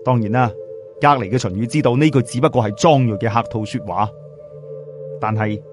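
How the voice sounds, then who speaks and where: A man with a Cantonese accent narrating a scripted story in a dramatic, expressive way. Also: mid-range voice. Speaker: audiobook narrator. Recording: music bed under voice. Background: background music.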